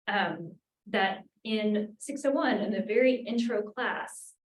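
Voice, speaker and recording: A woman's voice: mid-range voice, lively intonation, slow pace. Woman talking from across a roomy space. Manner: thoughtful, many fillers like "um" and "like". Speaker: graduate language instructor. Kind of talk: roundtable discussion. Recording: roomy, from far off.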